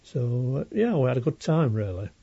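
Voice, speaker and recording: A man's voice: mid-range voice, big pitch swings, normal speed, dark tone, slightly rough, dry recording. An elderly man from Leeds with a Yorkshire accent, reminiscing in an unscripted, plain-spoken interview. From Leeds, England. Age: elderly, around 80s. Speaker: interviewee, retired railway worker. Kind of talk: unscripted oral history reminiscence. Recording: dull lo-fi interview recording.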